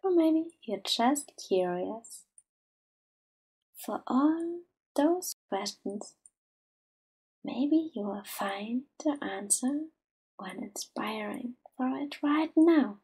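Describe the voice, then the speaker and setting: Female meditation guide, higher-pitched but mellow, gentle and unhurried, soft to near-whisper. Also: husky. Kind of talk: slow soothing guided meditation.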